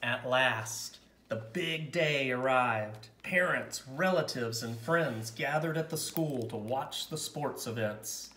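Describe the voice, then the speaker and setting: A man narrating a picture book aloud as a storyteller, with expressive, dramatic and deliberate phrasing. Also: medium pitch, reverberant.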